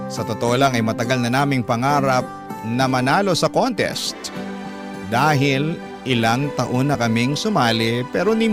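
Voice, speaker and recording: A man's voice: medium pitch, close to an everyday voice. A man narrating a wistful first-person life story for a radio drama.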